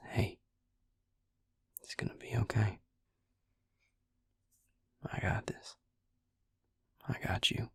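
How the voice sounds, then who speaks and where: A gruff, protective vampire healer played by a man murmurs in a low drawl for an intimate roleplay audio. The voice is very breathy and half-whispered, close and dry. He comes across as blunt but tender, with an exasperated, caring edge. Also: fairly bright tone, no echo, exaggerated voice. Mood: gentle, soothing.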